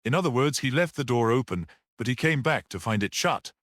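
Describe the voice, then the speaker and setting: An earnest priest-detective played by a male narrator in a mystery audiobook. He explains with rhetorical fervour and a very lively, swinging pitch. The sound is close and dry. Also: a little fast, no echo, close to an everyday voice. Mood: reflective, assured. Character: earnest priest-detective, gentle yet explosively passionate. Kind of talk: dramatic audiobook character reading.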